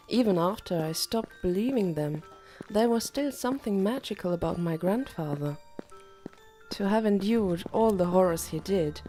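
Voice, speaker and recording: A woman's voice: medium pitch, dark tone. A woman reading a first-person novel as an audiobook narrator.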